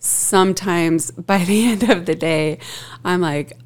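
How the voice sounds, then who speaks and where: A woman with a low voice talks through her thoughts in a loose, casual conversation. She muses aloud and laughs easily between ideas. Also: takes it slowly. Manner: reflective, laughs often. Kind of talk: casual chat.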